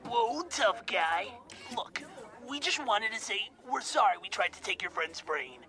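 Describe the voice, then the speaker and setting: A woman voicing a scheming, gloating villain in a cartoon fan dub, theatrical and drawn-out with mock-sweet menace. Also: mid-range voice, sing-song. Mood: sly, mock-sweet. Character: scheming, gloating villain with mind-control plot. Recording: loud music behind the voice.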